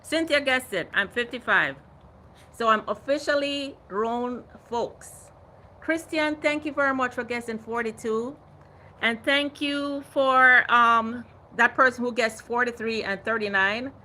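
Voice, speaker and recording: A woman's voice: on the high side, a little slow, sharp tone, slight background noise. A woman in her fifties hosting a casual gardening livestream, chatting animatedly and reading out viewer comments. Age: 50s. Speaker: gardening channel host.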